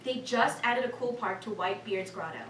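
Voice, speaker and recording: A woman's voice: mid-range voice, a little fast, fairly bright tone, lightly acted. A woman playing an eager, awkwardly friendly park employee in a staged reading of a cartoon script. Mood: coaxing. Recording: echoey room, distant mic, faint noise.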